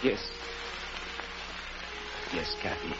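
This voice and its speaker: An actor playing a devoted, gentle schoolteacher husband in an old-time radio drama, speaking earnestly and haltingly. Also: male voice. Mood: anxious tenderness. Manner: earnest, halting, emotional delivery. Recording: dull, noisy old radio recording.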